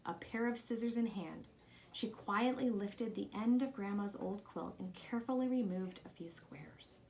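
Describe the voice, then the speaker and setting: A woman's voice: medium pitch, normal speed, gravelly, a little mellow, a little hiss or hum. A woman reading a children's picture book aloud as scripted story narration. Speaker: storybook reader.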